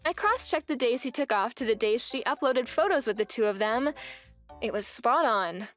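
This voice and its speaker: A woman's voice: a little high, animated, normal speed, a bit bright, soft background music. A young woman playing an indignant, resolute wife who narrates her story expressively in a manga dub. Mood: matter-of-fact.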